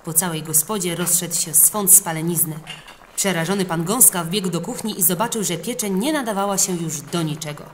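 A woman narrates a children's storybook. Her voice is on the low side, and she reads expressively as an audiobook reader. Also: moderate pace, slight background noise.

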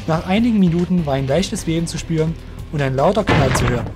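Male narrator for fantasy audio drama, fairly high voice, soft guitar music behind. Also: animated, fast talker.

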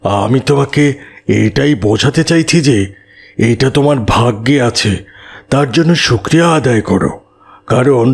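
A husky-voiced village imam, acted by a man, in a moral cartoon. He is kindly and patient, teaching in slow, deliberate phrases at a medium pitch. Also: a little mellow, full character voice. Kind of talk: cartoon moral-tale dialogue.

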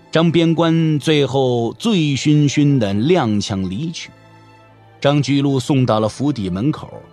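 A man narrating a martial-arts audio drama in a measured, expressive, scripted storytelling style. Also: fairly high pitch. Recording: music under the voice. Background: background music.

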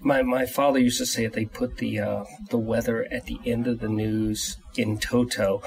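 A man's voice: medium pitch, flat delivery, normal speed, creaky, a little mellow. A man hosting a podcast interview, asking questions in a conversational, playful way. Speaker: podcast host. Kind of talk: casual interview chat.

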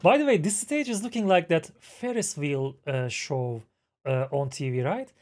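A man in his thirties with a Turkish accent, hosting a reaction video with halting, admiring remarks and fillers, speaking into a headset mic in a small room at home. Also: on the high side. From Turkey. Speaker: reaction channel host. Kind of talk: casual reaction commentary. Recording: loud music from the video underneath.

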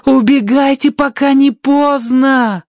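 Мужчина за встревоженного мальчика, высокий голос. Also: male voice, extremely high pitch, steady tone, takes it slowly, a little mellow, dry recording, dull audio, pitched above the performer's own voice, exaggerated voice. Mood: pleading alarm. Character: worried boy Timka, anxious for the foxes.

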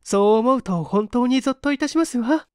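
A man's voice: very high voice, lively intonation, brisk, darkish tone, clean tone, no echo, clean studio sound, voice pushed up. A man voicing a polite, grateful young lady with genteel, deferential speech in a story reading. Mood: relieved, grateful.

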